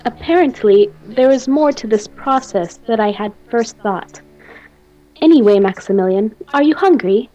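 A high but mellow-voiced madwoman played by a woman, formal and imperious, in gothic radio drama. The recording is slightly dull. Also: animated, moderate pace, faint music behind, lightly acted. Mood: polite, controlled. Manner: formal, theatrical. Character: imperious, obsessive mad woman scientist, doting and cold. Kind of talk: gothic radio play acting. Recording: dull, muffled home recording.

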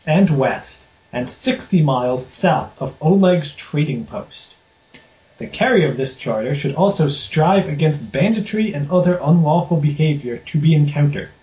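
A man's voice: a little high, dark tone, reverberant, lo-fi sound. A man from Rhode Island running a tabletop game as game master, joking offhand and reading a formal charter aloud.

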